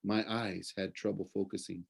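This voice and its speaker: A man narrating a children's novel aloud like a teacher reading to students, in an expressive storytelling way. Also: low-pitched, moderate pace, vocal fry, husky.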